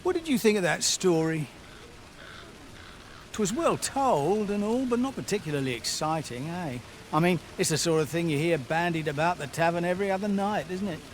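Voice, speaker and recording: A man's voice: on the high side, expressive, normal speed, a little hiss or hum, natural voice. An actor playing a skeptical, nosy townsman with colloquial, folksy speech in a fantasy game. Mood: skeptical, curious. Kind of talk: scripted fantasy game dialogue.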